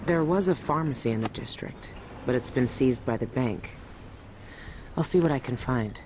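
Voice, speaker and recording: An actress playing a hardened, brooding former assassin in a video game, low-voiced, measured and terse, speaking with serious intent. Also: female voice, animated, background noise. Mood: determined. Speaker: protagonist and narrator. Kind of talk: brooding scripted game narration and dialogue. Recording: music under the voice.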